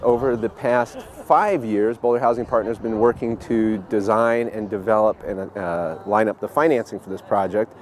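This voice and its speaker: Lower-voiced man, measured official. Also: fairly even intonation, a little mellow. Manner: measured, with fillers. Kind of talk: interview soundbite.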